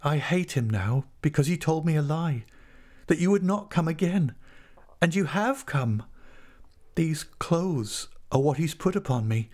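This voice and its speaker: A man's voice: fairly high pitch, lively intonation, a little mellow, voice pushed up. A man voicing a distraught young woman, bitter and pleading, in an impassioned audiobook reading of a classic novel. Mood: despairing, pleading. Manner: impassioned, repetitive, halting pleas.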